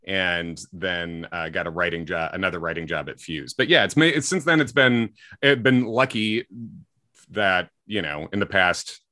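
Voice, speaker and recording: A man with a slight Chicago accent talks in a bright, forward voice that sits a little low in pitch. He rambles in a casual interview, piling up "likes" and restarts. The sound is dry and close. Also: animated, normal speed, dry recording. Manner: rambling, self-correcting, frequent likes and ums. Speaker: comedian guest.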